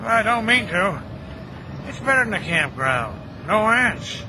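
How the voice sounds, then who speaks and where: An actor playing a cheerful, chatty older cemetery caretaker with a folksy, joking, kindly manner in an audio drama. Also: male voice, high voice, big pitch swings, unhurried, noisy background. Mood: genial, chatty.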